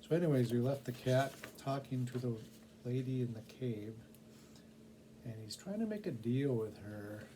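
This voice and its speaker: A man's voice: mid-range voice, expressive, normal speed, warm tone, slight background noise. A man with a casual, conversational manner, chatting and narrating a storybook as a volunteer reader.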